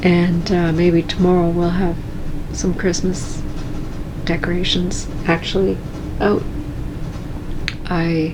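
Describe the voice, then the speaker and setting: A woman chatting casually and calmly in her cross-stitch vlog at home, soft-spoken and unhurried. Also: on the low side, noisy background. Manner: calm, slow and soft-spoken. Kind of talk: casual chat.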